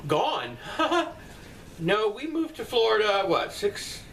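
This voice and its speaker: Middle-aged guy rambling on about stuff, a bit high voiced, mic kinda far off, faint hiss. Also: very animated, takes it slowly, gravelly, fairly bright tone. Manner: rambling, blunt, profane asides. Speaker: father answering viewer comments. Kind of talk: casual comment-response chat. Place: bedroom at night, indoors. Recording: distant mic with faint room noise.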